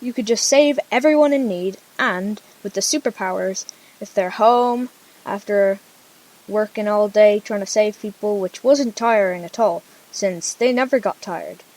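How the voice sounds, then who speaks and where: A woman's voice: medium pitch, unhurried, a little mellow, low-quality recording. A female storyteller narrating an amateur radio drama informally, with casual conversational asides.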